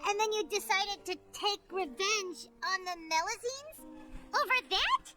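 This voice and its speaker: A woman's voice: very high-pitched, expressive, moderate pace. A woman voicing a small, perky companion girl in a video game, with game music behind her. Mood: incredulous.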